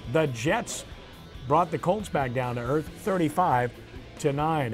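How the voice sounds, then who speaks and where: A man hosting a sports show, giving commentary and results in clipped, headline-style phrases. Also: on the high side.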